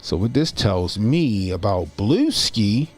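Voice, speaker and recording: A man's voice: on the low side, very animated, slow pace. A man in his forties doing casual livestream commentary as a YouTube host, talking informally and repeating phrases for emphasis. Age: 40s.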